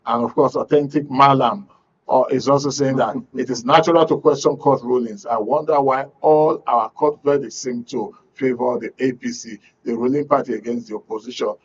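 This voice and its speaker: A man with a Nigerian accent presenting a current affairs talk show in a deliberate, measured way. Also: mid-range voice. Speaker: radio and TV talk show host. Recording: dull lo-fi broadcast sound.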